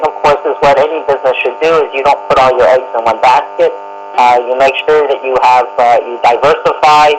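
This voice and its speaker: A man giving measured, explanatory analysis as a guest commentator in a radio panel discussion. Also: medium pitch. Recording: noisy shortwave radio with mains hum. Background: clear static noise and hum.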